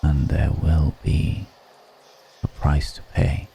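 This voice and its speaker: A man voicing a seductive, grave vampire lord in an intimate ASMR roleplay, speaking in a hushed, deliberate and solemn manner. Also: very deep voice, gravelly, a little hiss or hum, exaggerated voice.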